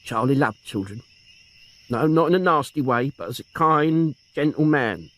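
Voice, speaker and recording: A woman's voice: a bass voice, animated, normal speed, a bit bright. A man voicing a grieving elderly woman in her seventies, tremulous and protective of her nephew. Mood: pleading. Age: 70s. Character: grieving elderly aunt, frail and tearful.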